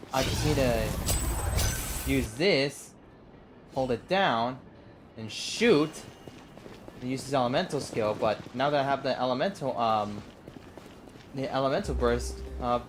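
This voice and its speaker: A man's voice: mid-range voice, unhurried, pauses often, noisy background. A man livestreaming a video game with casual, off-the-cuff gameplay commentary.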